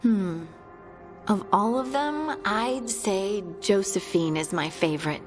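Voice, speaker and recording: A woman playing a warm, earnest young scout in a fantasy video game, chatting in a friendly, conversational way. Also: mid-range voice, slow pace, soft background music, natural voice. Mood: fond, amused. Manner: chatty, friendly, lightly amused.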